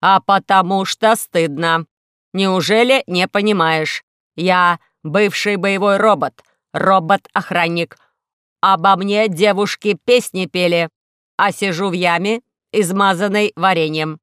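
A woman voicing a gloomy, melodramatic male former combat robot in a slow, mournful, drawn-out manner for a children's story. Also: fairly low pitch, steady tone, a bit bright, smooth, no room sound, clean studio sound. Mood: self-pitying, ashamed.

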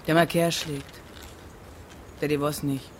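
Radio-play witness, a married woman who is defiant and sharp-tongued. She answers curtly, with sarcasm and evasion. Light background noise sits under her voice. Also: deep voice, flat delivery, takes it slowly. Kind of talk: German radio play acting.

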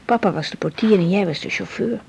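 An actress playing a troubled young woman doctor in a naturalistic 1980s radio drama, hesitant and halting. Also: fairly low pitch, gravelly, darkish tone, a little hiss or hum. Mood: uneasy, confiding. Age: young adult. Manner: hesitant, halting, repeating words.

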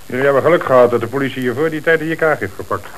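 A man acting a stern, shrewd police chief with a Netherlands Dutch accent and brisk authority, in a radio play. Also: medium pitch, close to an everyday voice, rough recording. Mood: shrewd, measured. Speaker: actor. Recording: hissy old radio recording.

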